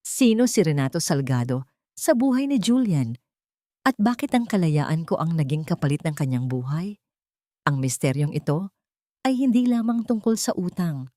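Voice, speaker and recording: Low-voiced Filipino woman narrating, close and dry. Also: very animated, moderate pace, dark tone, dry recording. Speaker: audiobook narrator. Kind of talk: dramatic first-person scripted story narration.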